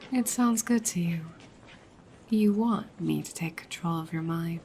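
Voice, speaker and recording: A woman playing a dominant, teasing goddess girlfriend in an ASMR roleplay, soft, breathy and often whispering, coaxing and commanding. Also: fairly low pitch, unhurried, a little hiss or hum. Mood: playful, possessive.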